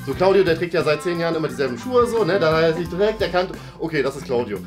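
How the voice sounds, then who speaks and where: Casual man hosting, with lively pitch swings. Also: very fast, over music. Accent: German. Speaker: host and contestant of a guessing game show. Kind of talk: casual, unscripted game commentary. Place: medium-sized indoor room.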